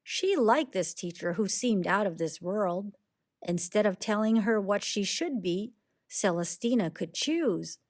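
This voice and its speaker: Slow-paced woman reading a children's story. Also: medium pitch. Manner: expressive, deliberate storytelling. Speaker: read-aloud storyteller. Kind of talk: children's picture book read-aloud, rhyming narration.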